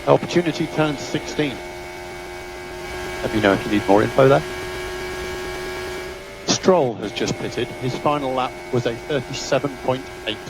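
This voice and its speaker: A man's voice: medium pitch, normal speed, pauses often. A man working as a race engineer, giving terse, businesslike technical instructions and updates over team radio. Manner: clipped, businesslike, technical delivery. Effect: team radio transmission. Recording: noisy team radio, engine and vehicle hum.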